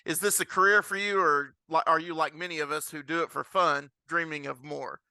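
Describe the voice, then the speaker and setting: A man's voice: fairly high pitch, fairly even intonation, fairly quick, pushed and bright, clean tone, dry recording. A man hosting a podcast, chatting and asking questions in a casual interview style.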